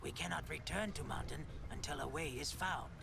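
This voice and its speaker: An actor playing an earnest horned creature of a mountain tribe in a video game, speaking tersely and formally in stilted sentences. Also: male voice, a little high, normal speed, vocal fry, over music. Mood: solemn, earnest. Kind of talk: video game cutscene acting.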